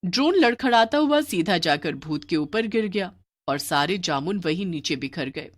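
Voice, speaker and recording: Animated woman narrator with a lower voice. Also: moderate pace. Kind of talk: scripted story narration.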